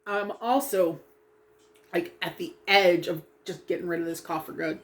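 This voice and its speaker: A woman's voice: fairly low pitch, expressive, slow pace. A woman vlogging casually about dyeing her hair, hesitant and rambling with many ums, sniffly and a little froggy from a lingering cough. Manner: hesitant, rambling, full of ums, self-apologizing. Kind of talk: casual chat while doing hair.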